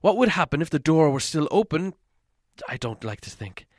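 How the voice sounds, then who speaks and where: A man reading an audiobook as a dramatic storyteller, expressive and theatrical. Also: fairly high pitch, normal speed, dry recording, clean studio sound. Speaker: audiobook reader.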